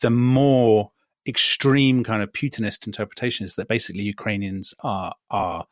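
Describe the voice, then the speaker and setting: A man co-hosting a history podcast, talking in an emphatic, lively way with quick restarts and asides. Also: lowish voice, no room sound, clean studio sound. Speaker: podcast co-host. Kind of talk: casual history podcast chat.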